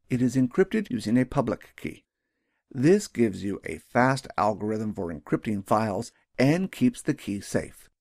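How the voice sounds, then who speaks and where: Man narrating a lesson, dry lively delivery. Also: mid-range voice, no room sound. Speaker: instructor narrating a training video. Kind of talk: scripted explanatory narration.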